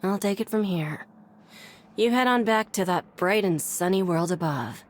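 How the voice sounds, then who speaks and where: A woman's voice: medium pitch, moderate pace, slight background noise, natural voice. A woman voicing a weary, sardonic female prison clerk in a video game, dry and grumbling. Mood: wry.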